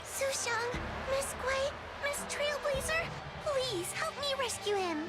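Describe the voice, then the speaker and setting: High-pitched, animated young heroine. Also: female voice, slow pace, over loud music, heavily acted. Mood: pleading. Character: earnest young heroine in a ghost-hunting squad. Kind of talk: dramatic video game voice acting.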